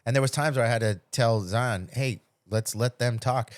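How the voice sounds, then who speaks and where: A man's voice: medium pitch, moderate pace, no echo, studio quality. Middle-aged man telling stories casually. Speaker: podcast host. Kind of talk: casual chat, storytelling.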